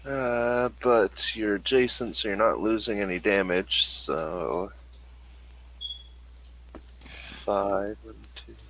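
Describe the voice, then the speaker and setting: A man running a tabletop game as game master, narrating casually and haltingly as he thinks aloud. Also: fairly low pitch, pushed and bright, rough recording.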